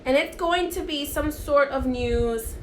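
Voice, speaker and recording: A woman with a high, bright-sounding voice whose first language is Spanish leads a live spiritual card reading, speaking to viewers in a chatty, encouraging way. She keeps checking in with them as she goes. The phone recording sounds roomy and echoey, with a faint hiss underneath. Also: measured pace.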